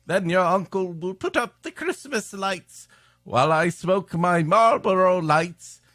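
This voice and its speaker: A man's voice: high-pitched, expressive, measured pace, a bit bright, no echo, studio quality, voice pushed up. A droll, self-satisfied aunt for a comedy storytelling show, put on by a man. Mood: breezy.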